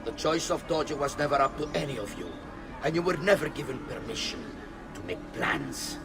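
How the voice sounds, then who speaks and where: A man's voice: fairly high pitch, sing-song, moderate pace, music in the background. A man playing a contemptuous, domineering master of conspirators in a game. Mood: scornful. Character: contemptuous, domineering male master scolding underlings. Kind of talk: cinematic game acting.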